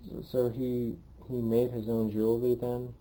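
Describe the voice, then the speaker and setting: A man running an oral history interview, asking questions in a halting way and often restarting them. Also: mid-range voice, monotone, mellow, a little hiss or hum, poor audio.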